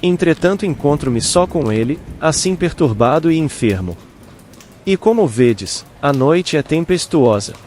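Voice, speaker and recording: A man's voice: fairly high pitch, lively intonation, measured pace, music in the background. Humble, pleading servant man played by an actor. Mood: worried, pleading. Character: Jacó, humble, anxious, deferential male servant. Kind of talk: dramatized audiobook dialogue.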